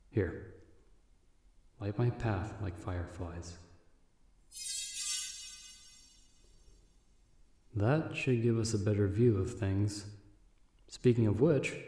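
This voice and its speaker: An echoey recording with a faint hiss carries a calm vampire monster hunter acted by a man. His voice is low and mellow. He speaks straight to the listener in measured, reassuring terms, like the seasoned mentor of a novice in an audio roleplay. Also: natural voice. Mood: calm, attentive, then curious, encouraging.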